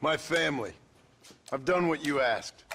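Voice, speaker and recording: An actor playing a gruff, weary, sardonic gunslinger in a western video game, deadpan and laconic. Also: male voice, a little high, unhurried, slight background noise, exaggerated voice. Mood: grim, demanding. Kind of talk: video game cutscene acting.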